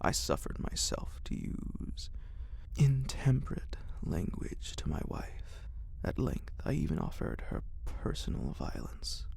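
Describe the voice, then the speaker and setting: A narrator reading a horror story in a breathy, gravelly ASMR whisper, with a deliberate, dramatic literary delivery. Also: low voice, huge pitch swings, darkish tone.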